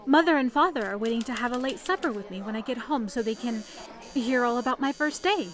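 Actress as high-voiced young trainee operator. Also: female voice, expressive, fast, natural voice. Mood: eager, polite. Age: young adult. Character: young, eager, obedient trainee switchboard operator. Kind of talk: period radio play acting.

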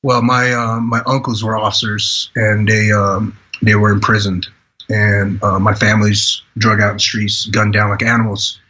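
Earnest, halting man with bright tone. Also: on the low side, little pitch movement, muffled recording. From Vietnam. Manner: earnest, halting delivery with frequent "you know" restarts. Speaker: podcast guest, retired Green Beret. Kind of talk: personal storytelling in a podcast interview.

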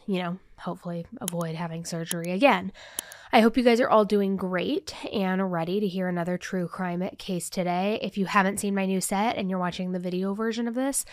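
A woman hosting a true crime podcast in a casual, animated way, a little nasal from being under the weather. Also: mid-range voice, clear, resonant tone, no room sound. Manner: animated, talks with hands, lots of "like". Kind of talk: casual chatty podcast storytelling. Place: new office studio set. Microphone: podcast microphone.

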